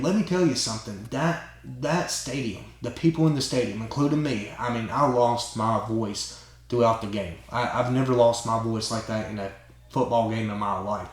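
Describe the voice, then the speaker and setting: Man, mid-pitched voice, excited rambling story, casual talk, echoey room. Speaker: channel host. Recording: roomy echo.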